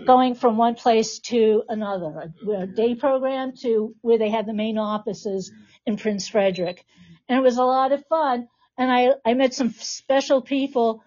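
Female podcast host and teacher, reassuring, higher-pitched, captured in muffled lo-fi sound. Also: darkish tone. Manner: encouraging, reassuring prompts. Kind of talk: live podcast hosting, addressing a class. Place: classroom with about twenty people.

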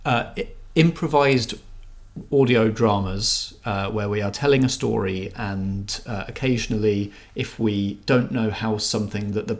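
A man's voice: on the low side, a little slow. A man hosting a podcast and running a role-playing game, talking casually in hesitant, stop-start phrases. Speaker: podcast host and game master. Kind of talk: casual podcast chat and improvised game narration.

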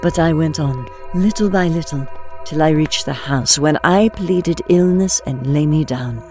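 A woman's voice: low-pitched, measured pace, mellow. A woman playing a wronged noble wife who tells her own tale as dramatic narrator, in archaic storybook prose. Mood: distressed, recounting. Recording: music behind.

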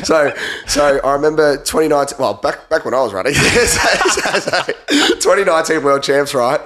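A young Australian man, hoarse from a flu, joking and swearing as a guest co-host on a running podcast. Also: a little high, animated, normal speed, fairly bright tone. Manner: joking, swears freely, trails off and restarts. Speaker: guest co-host, former 800m runner. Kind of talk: casual podcast banter.

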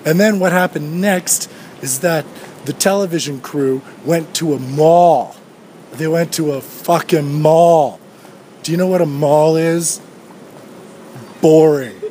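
A man's voice: fairly high pitch, slow pace, warm tone. A man in his thirties hosting a solo podcast, ranting in a sardonic, profane way while walking outdoors. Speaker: podcast host. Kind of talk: solo rambling podcast rant. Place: outdoors on a street. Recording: street noise with passing traffic.

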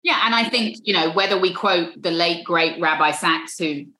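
A woman's voice: on the low side, normal speed, sharp tone, reverberant. A British woman in an interview, speaking earnestly and often repeating words as she thinks. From Britain.

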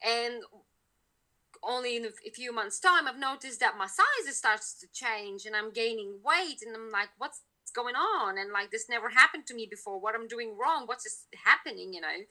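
A woman with a Lithuanian accent and a very bright, forceful voice, pitched a little high. She talks casually as a podcast guest, full of fillers and restarts. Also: animated, normal speed. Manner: chatty, many fillers and restarts. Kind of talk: casual interview talk.

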